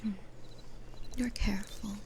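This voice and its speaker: A woman playing a gentle, patient, kindly demon florist in an ASMR roleplay, in a soft breathy whisper with a soothing, nurturing manner. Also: mid-range voice, steady tone, background noise, natural voice. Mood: tender, encouraging. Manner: slow, soothing, nurturing, unhurried.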